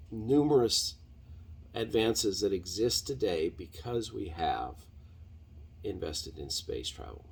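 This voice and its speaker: A man hosting a podcast, giving an unscripted solo monologue in halting, deliberate, earnest phrasing. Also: lowish voice, gravelly, a little mellow, breathy edge. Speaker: podcast host.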